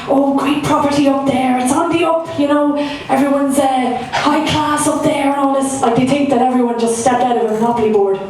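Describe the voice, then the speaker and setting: A woman's voice: high voice, steady tone, brisk, few pauses, a little mellow, a little hiss or hum. Irish woman doing stand-up in an echoey club. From Ireland. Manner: chatty and self-mocking, filler-heavy delivery. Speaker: stand-up comedian. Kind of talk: stand-up set. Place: comedy club stage. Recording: echoey room, from far off.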